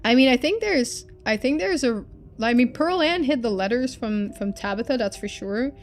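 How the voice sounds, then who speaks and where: A woman's voice: a little high, lively intonation, moderate pace. A woman streaming a game, reading its narration aloud and adding casual commentary.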